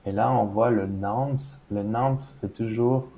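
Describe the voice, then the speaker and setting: A man's voice: fairly low pitch, steady tone, takes it slowly, gravelly, warm tone, slight background noise, poor audio. A French-speaking man patiently walking through a crypto wallet demo on a video call, often saying "voilà". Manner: patient, explanatory, with frequent "voilà". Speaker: crypto educator demonstrating a wallet. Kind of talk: screen-share walkthrough in a live interview. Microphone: webcam video call.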